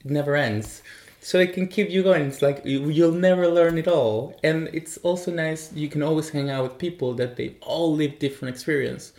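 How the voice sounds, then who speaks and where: A man's voice: a little high, moderate pace, darkish tone, roomy sound. A man from Chile, a tea sommelier, talking as a guest in an interview, thoughtful, with frequent fillers and restarts. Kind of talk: relaxed interview conversation in English.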